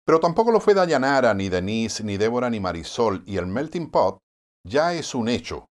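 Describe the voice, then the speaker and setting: A man's voice: medium pitch, big pitch swings, normal speed. A man with a Puerto Rican accent narrating a news commentary review of the year, in a wry, sardonic editorial tone. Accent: Puerto Rican Spanish.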